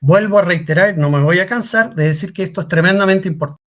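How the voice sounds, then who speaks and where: A man's voice: high voice, fast, a bit bright. A middle-aged man giving a career workshop talk as a coach, asking rhetorical questions and repeating key lines for emphasis. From Chile.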